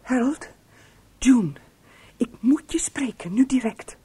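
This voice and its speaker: A woman's voice: high-pitched, expressive, slow pace, warm tone, slightly rough, dry recording, heavily acted. An actress playing an anxious, conscientious woman who speaks with urgent pleading in a radio play. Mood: urgent, hushed. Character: anxious, conscientious woman urging her partner. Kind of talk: Dutch radio play acting.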